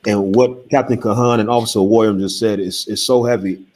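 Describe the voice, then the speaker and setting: A man on an online panel discussion, telling a story with acted-out dialogue and building to forceful emphasis. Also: fairly low pitch, fairly even intonation, brisk, a little hiss or hum. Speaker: panel member.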